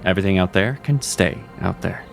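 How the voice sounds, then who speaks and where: A cryptic, teasing mage mentor, acted by a man in a fantasy audio drama. His voice sits low with a gravelly edge, and he keeps an easygoing, chuckling manner. Faint music plays underneath. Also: a little fast. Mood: reassuring.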